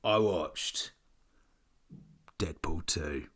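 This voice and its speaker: A man's voice: rumbling low, drawn-out words. A British man co-hosting a podcast, chatting casually in a blokey, jokey way. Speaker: podcast co-host. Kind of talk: casual banter.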